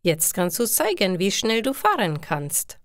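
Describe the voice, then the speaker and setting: A woman's voice: mid-range voice, sing-song, measured pace, no echo, clean studio sound. A woman voicing a friendly, helpful pizza delivery man who explains things patiently, in a learners' storybook. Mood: helpful, instructive.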